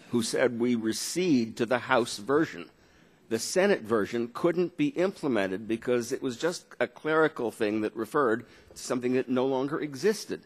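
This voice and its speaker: A man testifying as a law professor at a formal hearing, emphatic, assertive and articulate as he makes his argument. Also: medium pitch. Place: legislative hearing room. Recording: long reverb of a hearing room.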